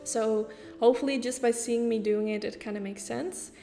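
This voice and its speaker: A woman's voice: a little high, fairly even intonation, normal speed, dark tone, big-room sound, faint music behind. Dutch-accented woman, chatty makeup voice-over. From Netherlands. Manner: chatty, explains step by step with casual fillers. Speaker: beauty YouTuber.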